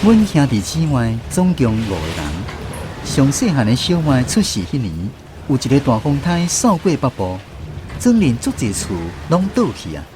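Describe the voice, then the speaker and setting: A man's voice: mid-range voice, sing-song, normal speed, music in the background. A man narrating a radio drama in the first person, reminiscing like a storyteller with a Taiwanese accent.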